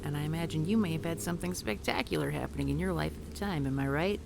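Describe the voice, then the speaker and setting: A woman's voice: deep voice, quick pace, gravelly, noisy background. A woman voicing a self-assured, teasing former professor in an audio roleplay, dry and in charge. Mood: wry. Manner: dry, teasing, takes charge, swears casually. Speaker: historical society leader.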